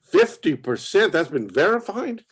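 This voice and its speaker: A man playing a gruff, hard-of-hearing inventor in a play reading, folksy and cagey, with rambling, halting, swearing speech. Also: sits high, wildly swooping pitch, exaggerated voice. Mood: elated. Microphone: video call.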